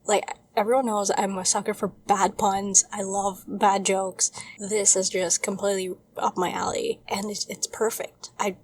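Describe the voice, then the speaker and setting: A woman's voice: medium pitch, flat delivery, a little slow, a little mellow, a little hiss or hum. A woman in her twenties co-hosting a casual podcast, talking in an enthusiastic, rambling way. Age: 20s. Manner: enthusiastic, rambling, retells scenes with relish. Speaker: podcast co-host. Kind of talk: casual chat.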